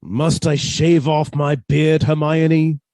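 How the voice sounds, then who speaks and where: An actor playing a meek, henpecked professor, mild and deferential, in a suspense radio play. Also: male voice, fairly high pitch, level tone, slow, mellow, dry recording, clean studio sound. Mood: meek, resigned. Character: meek, henpecked British professor husband, quietly fussy.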